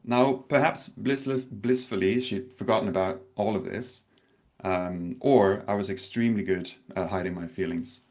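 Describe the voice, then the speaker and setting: A man from Denmark, in his thirties, giving a live online conference talk, telling a story slowly with pauses and a touch of nerves. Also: fairly low pitch. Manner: warm storytelling with pauses, slightly nervous. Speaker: conference speaker.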